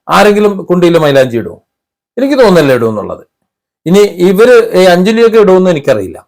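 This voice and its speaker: A man with a fairly high voice delivers opinion commentary. He speaks haltingly, leaning on his points with emphasis and a critical edge. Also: a little mellow. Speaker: commentary vlogger.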